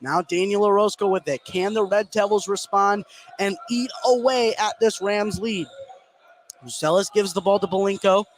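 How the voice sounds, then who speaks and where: A man doing energetic play-by-play radio commentary on basketball, following each pass in clipped phrases. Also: high voice, normal speed, low-quality recording. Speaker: play-by-play sports announcer. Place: indoor gymnasium at a live game. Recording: clear gym noise behind the voice.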